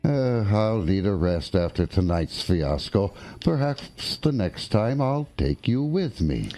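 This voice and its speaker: An actor playing a pompous, easily outraged centuries-old English ghost knight in a blustering, theatrical radio drama style. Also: male voice, lowish voice, very animated, slow pace, warm tone, heavily acted. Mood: aggrieved, sore.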